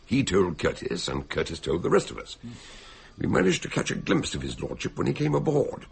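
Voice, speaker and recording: An actor playing an elderly, eccentric detective doctor in a radio drama, genial and theatrical with a gravelly, husky voice. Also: male voice, low-pitched, wildly swooping pitch, brisk, exaggerated voice. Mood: genial, chatty. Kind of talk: radio drama acting and narration.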